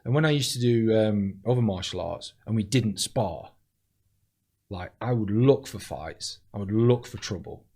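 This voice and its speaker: A man talking in casual chat as a comedian guest, deadpan and blunt, swearing freely as he tells stories. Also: fairly low pitch, measured pace, slightly rough.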